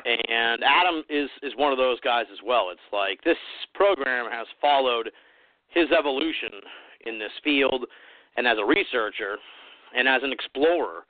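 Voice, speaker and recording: A man's voice: mid-range voice, moderate pace, no echo. A man hosting a radio show, talking loosely with lots of fillers. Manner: loose, rambling, many fillers. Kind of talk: casual radio interview. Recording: dry tinny phone-like sound.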